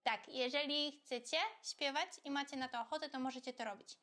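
Woman doing a high, childlike puppet character. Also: steady tone, brisk, bright, forceful tone. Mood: friendly, encouraging. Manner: simple, chatty, addressing children directly. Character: friendly childlike puppet Rabbi who loves singing. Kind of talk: children's religious show.

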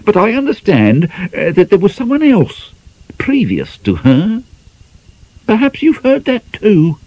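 Man acting a smooth, insinuating gentleman gossip-fisher. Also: high voice, huge pitch swings, muffled recording, pitched above the performer's own voice, full character voice. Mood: slyly probing. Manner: suave, hesitant, deliberately pointed.

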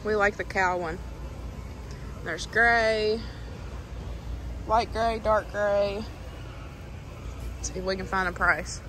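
Slow-paced Southern woman vlogger, noisy background. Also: medium pitch, fairly even intonation, fairly bright tone. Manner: gushing, exclamatory, informal. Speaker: shopping haul vlogger. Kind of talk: casual vlog chat, remarking on products and prices.

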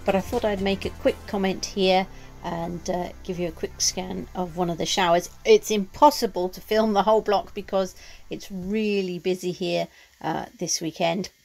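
A woman vlogger talking casually to camera, practical and chatty, pausing often with "uh". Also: lowish voice, steady tone, creaky, darkish tone, soft background music. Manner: chatty and practical, with many "uh" pauses. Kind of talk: casual vlog narration. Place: campsite shower block, indoors.

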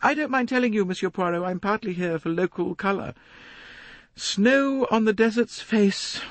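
A man voicing a pompous, gushing older woman novelist in a high, complaining voice. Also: normal speed, dry recording, close to an everyday voice. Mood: grandiose. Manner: theatrical, self-important, emphatic. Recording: dry, close audiobook recording.

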